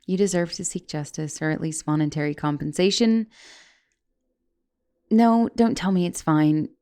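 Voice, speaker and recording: A young woman playing a guilty, caring giantess college student in an intimate ASMR roleplay, hesitant and stammering, with soft turns toward whispering. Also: fairly low pitch, normal speed, clean tone, close to an everyday voice. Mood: apologetic, gentle. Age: young adult. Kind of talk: ASMR roleplay, intimate one-sided dialogue.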